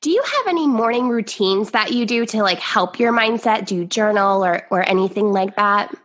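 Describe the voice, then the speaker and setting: A woman hosting a podcast, a little nasal from sinus congestion, bubbly and quick with praise. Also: mid-range voice, expressive, fairly bright tone. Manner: bubbly, laughs often, gushing praise. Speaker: podcast host. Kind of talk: casual interview chat.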